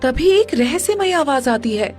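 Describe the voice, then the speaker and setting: Female story narrator, fairly high voice. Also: lively intonation, measured pace, soft background music.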